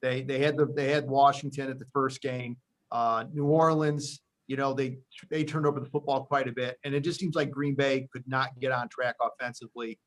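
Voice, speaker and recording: A man's voice: medium pitch, fairly even intonation, normal speed, rough recording. Conversational male sports show host. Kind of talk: sports preview commentary, conversational.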